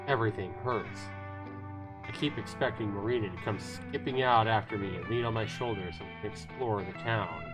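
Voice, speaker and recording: A man reading visual novel narration aloud on a livestream, telling it dramatically with the occasional stumble. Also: on the low side. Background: guitar music.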